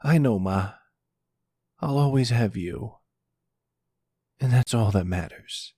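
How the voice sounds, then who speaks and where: Man acting a halting, boyish, sensitive young son. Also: warm tone, husky, no echo. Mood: sad, vulnerable. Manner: halting, stammering, higher and more boyish. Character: sensitive, defensive young college-age son, playful with his mother. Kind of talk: ASMR audio roleplay acting.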